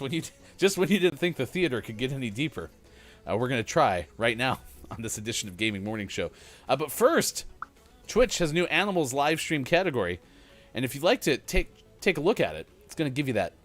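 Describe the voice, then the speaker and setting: A man's voice: fairly high pitch, a bit bright. A man co-hosting a morning show, bantering casually in a joking, rambling, mock-serious manner.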